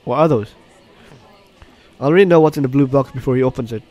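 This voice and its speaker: A man's voice: mid-range voice, fast, muffled recording. A man hosting a game walkthrough, reading the on-screen story aloud and reacting in an exclamatory way. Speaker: gaming host. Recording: game music behind commentary. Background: game music.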